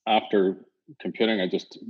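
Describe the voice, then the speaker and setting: A middle-aged man from Northern Ireland with a Northern Irish accent, a company founder chatting in an interview, relaxed and rambling with many fillers. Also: sits low, normal speed, breathy edge. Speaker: company founder and CEO, guest. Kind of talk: casual interview talk. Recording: dull lo-fi audio.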